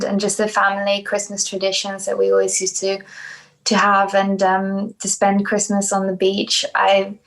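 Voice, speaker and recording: A woman's voice: mid-range voice, creaky, low-quality recording. A young woman from South Africa, a dancer being interviewed, talking casually with many hesitations and restarts. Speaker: podcast guest, dancer.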